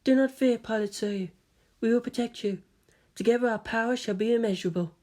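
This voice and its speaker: A man voicing a quiet, detached teenage girl pilot who speaks softly, formally and cryptically. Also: very high-pitched, brisk, voice pushed up. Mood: serene, formal. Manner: soft, flat, formal.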